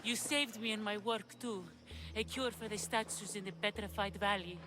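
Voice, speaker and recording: A woman's voice: medium pitch, normal speed, lightly acted. A woman playing an obsessive, earnest alchemist in a video game, with an intense, precise manner. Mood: eager. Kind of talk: video game cutscene acting.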